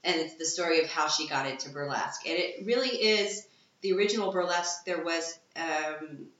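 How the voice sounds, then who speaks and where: A bright-toned, fairly low-pitched woman talks eagerly as a guest, now and then bursting into excitement. The voice rings in a very echoey room, and the recording sounds dull and lo-fi. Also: normal speed. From Texas, USA. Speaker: burlesque performer, guest. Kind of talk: casual interview chat.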